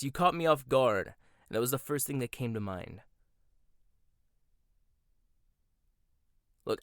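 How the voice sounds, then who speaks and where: Man as a combative young chef, lively sarcastic delivery, dry close sound. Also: fairly high pitch, no room sound. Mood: irritated. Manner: sarcastic, confrontational. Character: proud combative young male head chef. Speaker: voice actor. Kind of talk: audio roleplay.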